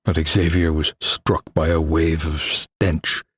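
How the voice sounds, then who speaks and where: Very deep, crisp male audiobook narrator. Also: flat delivery, slow. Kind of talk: scripted dramatic horror-adventure narration.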